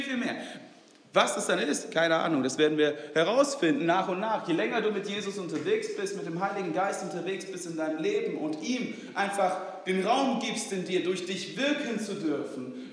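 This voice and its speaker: High-voiced preacher in an echoey hall. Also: male voice, a bit bright. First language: Swedish. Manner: chatty, many fillers and tag questions. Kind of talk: informal sermon. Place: church hall. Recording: roomy hall reverb.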